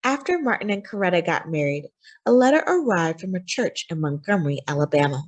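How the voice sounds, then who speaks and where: A woman reading a children's picture book aloud as a story time host, with expressive, deliberate phrasing for young listeners. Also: on the low side.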